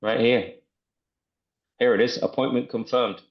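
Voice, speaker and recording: A man giving a casual live software walkthrough as presenter, enthusiastic and often repeating himself, on muffled online call audio. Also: mid-range voice, fairly even intonation, unhurried.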